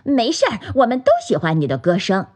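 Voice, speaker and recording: A woman voicing a lively, helpful little monkey in a children's storybook, energetic in manner. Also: mid-range voice, fairly quick, breathy edge.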